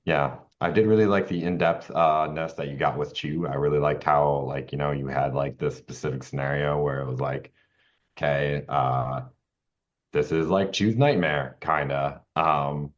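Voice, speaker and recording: A man chatting casually as a podcast co-host, giving game feedback in a halting, rambling, self-correcting way. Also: a bass voice, dull audio. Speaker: podcast co-host and tabletop player.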